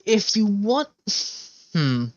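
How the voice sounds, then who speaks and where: A man's voice: high-pitched, sing-song, very slow, a little mellow, dry recording. Rambling man, dry, slightly dull sound. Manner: rambling, musing, hesitant thinking aloud. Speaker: game streamer. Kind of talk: casual livestream chat while playing.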